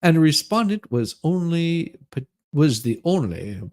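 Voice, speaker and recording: Creaky-voiced man doing a comic reading. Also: fairly high pitch, big pitch swings, measured pace, darkish tone. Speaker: livestream host. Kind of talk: mock dramatic reading with crude comic asides.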